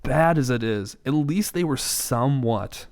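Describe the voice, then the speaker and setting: Man with medium pitch, rambling casually. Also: animated, measured pace, darkish tone. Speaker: podcast co-host. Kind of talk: casual chat, film discussion.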